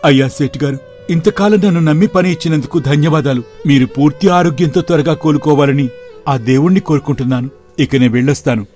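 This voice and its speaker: Man playing an earnest, higher-voiced young shop clerk. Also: fast talker, darkish tone, faint music behind, natural voice. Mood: hurt, humble. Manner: polite and deferential. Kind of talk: dramatized moral story for video.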